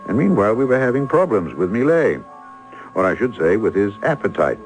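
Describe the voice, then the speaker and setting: Wry, low-voiced schemer, very animated. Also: male voice, fairly quick, faint music behind, low-quality recording. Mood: wry storytelling. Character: wry, smooth-talking artist schemer recounting his swindle. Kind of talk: old-time radio drama acting.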